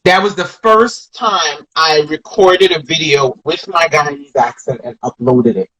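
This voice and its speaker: A woman's voice: sits low, unhurried, a bit bright, breathy edge, rough recording. A woman comedian from Brooklyn with an American accent, telling stories in a rambling, reflective way full of restarts in a casual interview. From Flatbush, Brooklyn, New York. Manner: rambling, reflective, frequent restarts and "you know".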